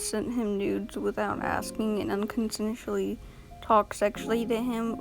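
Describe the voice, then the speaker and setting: A woman recording an early-morning voiceover for a drama commentary video, sounding tired and a little raspy, blunt and exasperated in a casual way with lots of fillers. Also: fairly high pitch, rough recording. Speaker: commentary YouTuber. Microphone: home voiceover mic.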